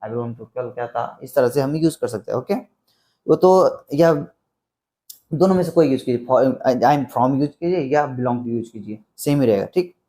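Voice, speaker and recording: A man with a soft-edged, mellow tone at a medium pitch. He teaches like a tutor for beginners, explaining things step by step. He often repeats his example phrases. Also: moderate pace. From Bihar, India. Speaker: English teacher. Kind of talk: spoken English lesson explained in simple Hindi.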